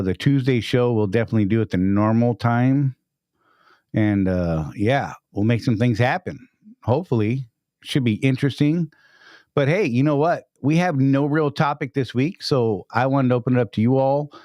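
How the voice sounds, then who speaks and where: A man's voice: mid-range voice, a little mellow, no echo, clean studio sound. A man hosting a casual live stream, upbeat and greeting chat viewers by name.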